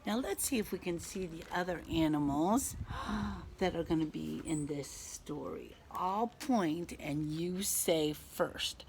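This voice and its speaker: Slow-paced woman teacher reading to children. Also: low-pitched. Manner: expressive, asking questions, leaving pauses for answers. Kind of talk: interactive children's read-aloud. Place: outdoors in a back garden. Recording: faint outdoor background noise.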